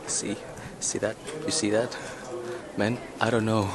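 A man's voice: fairly low pitch, little pitch movement, a little slow, crowd noise. A young man in his twenties from Indonesia, vlogging in a joking, nervous, rambling way, switching between Indonesian and English, filmed on a handheld camera at arm's length. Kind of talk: casual vlog chat mixing Indonesian and English. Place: inside a car, then a conference hall.

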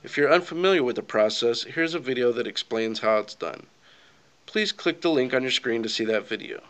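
A man reading scripted instructional narration for a software tutorial, giving clear step-by-step directions. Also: mid-range voice, lively intonation, a little fast.

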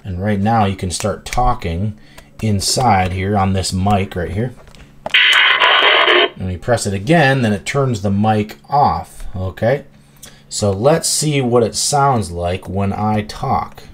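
A man's voice: fairly low pitch, slow pace, a little hiss or hum. A man hosting a toy review, demonstrating the product in an easygoing, chatty way. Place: indoor tabletop toy video set.

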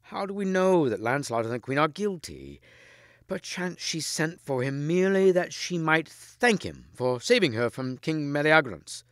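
A man's voice: on the high side, very animated, moderate pace, dry recording, clean studio sound, voice pushed up. Man playing an earnest, impassioned loyal knight. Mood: gentle pleading. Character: loyal, principled knight Gawain pleading with his king.

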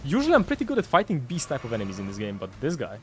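Lively Croatian man streaming a game. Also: medium pitch, racing, slight background noise. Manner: excitable, swears and laughs while fighting bosses. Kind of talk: live gameplay commentary reading chat. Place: home streaming room, indoors. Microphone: headset.

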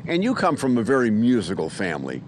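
Lively older male field reporter. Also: quick pace. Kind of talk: live TV interview. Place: outdoor city plaza at night. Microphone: handheld reporter microphone. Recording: outdoor rumble, faint noise.

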